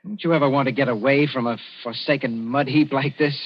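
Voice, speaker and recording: An actor playing a shrewd, composed young colonial official in a 1940s radio drama, speaking in a measured, dryly ironic way. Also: male voice, mid-range voice, fairly even intonation, lightly acted. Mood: confiding. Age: young adult. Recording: dull, muffled 1940s radio recording.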